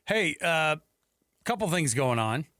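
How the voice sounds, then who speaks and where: A man's voice: fairly high pitch, big pitch swings, takes it slowly, pushed and bright, no room sound. An American man hosting sports radio, talking casually and conversationally on a close studio mic, with rhetorical questions and asides. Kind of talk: casual sports talk commentary. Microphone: close studio headset mic.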